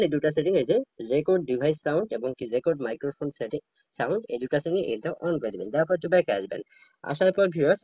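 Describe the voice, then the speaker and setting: Guy doing a phone how-to, talks super fast, dry sound with no room echo. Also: medium pitch, darkish tone. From Bangladesh. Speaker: tech tutorial host. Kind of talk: informal step-by-step phone tutorial.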